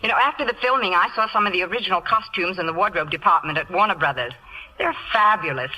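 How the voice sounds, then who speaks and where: A woman's voice: lowish voice, fast talker, lo-fi sound, a little hiss or hum. A woman from England appearing as an actress guest in a scripted 1950s radio interview and endorsement.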